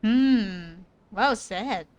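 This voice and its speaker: A woman's voice: mid-range voice, animated, extremely slow, darkish tone, clean tone. A woman streaming a game, making chatty, amused comments as she plays. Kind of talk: casual live reactions.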